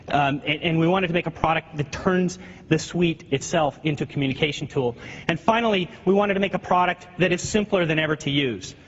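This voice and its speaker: A man's voice: high-pitched, breathy edge. Man, 30s, keynote presenter; restarts often, huskyish, dull lo-fi capture. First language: not French. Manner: explanatory, with frequent restarts and fillers. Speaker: software product presenter. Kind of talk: live keynote product demo. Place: large auditorium stage before an audience. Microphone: stage mic, heard through the hall. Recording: dull lo-fi recording with long hall reverb.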